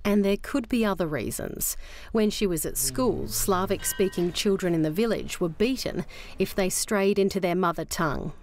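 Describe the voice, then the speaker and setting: A woman narrates a news feature in a calm, measured voice, slightly low in pitch. Soft music runs faintly under her words. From Western Australia. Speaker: reporter. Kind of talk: scripted documentary narration.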